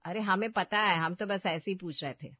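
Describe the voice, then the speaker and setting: A woman's voice: fairly low pitch, moderate pace, dry recording, lo-fi sound, lightly acted. A woman playing a bossy, haughty housewife in a story, scolding and plain-spoken. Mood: curious, doubting. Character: bossy middle-class housewife, skeptical employer of a maid.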